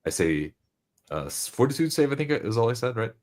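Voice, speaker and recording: A man's voice: medium pitch, big pitch swings, brisk, darkish tone. A man in a casual group conversation, picking his words carefully with hesitations and repeats.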